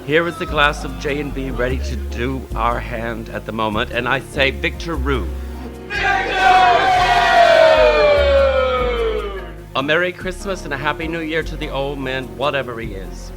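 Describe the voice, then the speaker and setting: A man's voice: medium pitch, big pitch swings, normal speed, lightly acted. A man playing a good-tempered, hearty younger fellow in a radio play, theatrical and good-humored. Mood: hearty, celebratory. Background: loud music.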